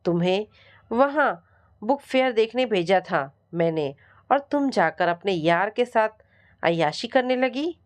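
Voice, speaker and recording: A woman's voice: medium pitch, lively intonation, unhurried, natural voice. A woman storyteller voicing an arrogant, suspicious husband who speaks with accusing contempt. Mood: angry, accusing.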